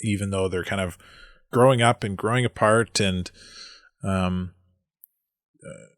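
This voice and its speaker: Low-voiced hesitant podcast co-host, a man. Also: fairly even intonation, unhurried, no room sound, studio quality. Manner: hesitant, many restarts and fillers. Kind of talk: casual movie review chat.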